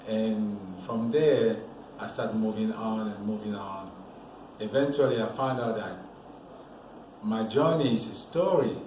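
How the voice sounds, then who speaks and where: A man from Cameroon, a model telling his life story in a relaxed, rambling way as an interview guest. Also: lowish voice, unhurried. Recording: echoey room, voice from a distance, noisy background.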